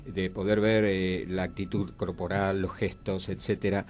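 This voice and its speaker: A man's voice: deep voice, level tone, normal speed, darkish tone. A man in his sixties with a Buenos Aires Argentine accent, talking conversationally as a radio interview host. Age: 60s.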